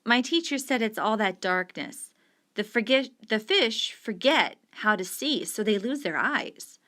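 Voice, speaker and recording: A woman's voice: a little high, animated, unhurried, bright, forceful tone, voice pushed up. A woman reading aloud and voicing a curious young boy who keeps asking questions in a story. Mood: questioning. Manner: persistent, questioning.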